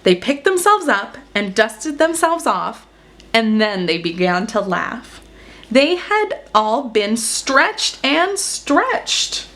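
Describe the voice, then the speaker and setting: Woman, children's story narration, unhurried, expressive, highly varied pitch, dramatic emphasis. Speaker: storyteller reading a picture book aloud.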